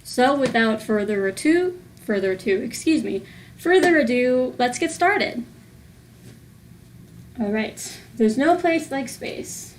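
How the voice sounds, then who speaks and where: A woman with a high-pitched voice reads a children's picture book aloud. She takes her time, with a slow, sing-song delivery that sets out each rhyming phrase deliberately. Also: lively intonation, a little hiss or hum. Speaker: host of a children's read-aloud series.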